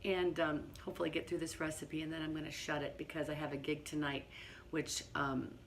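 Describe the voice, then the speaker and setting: Hoarse low-voiced woman, quiet kitchen talk. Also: little pitch movement, a little fast, slight background noise. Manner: quiet, partly whispered speech. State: hoarse from laryngitis. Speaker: home cooking host. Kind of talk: casual cooking demonstration. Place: home kitchen.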